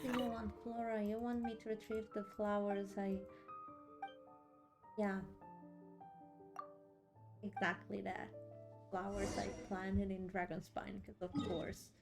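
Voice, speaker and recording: Girl streamer chatting over game music, kinda sleepy n slow, woman w a highish voice. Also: level tone, creaky. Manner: chatty, repeats phrases for emphasis. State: a bit sleepy after a long day. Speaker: livestreamer. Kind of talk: casual game livestream chat. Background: background music.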